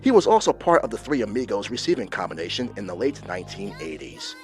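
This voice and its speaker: A man's voice: fairly low pitch, big pitch swings, quick pace, a little mellow. A man reading a scripted sports show intro as an announcer. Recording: music bed under the voice. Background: intro music.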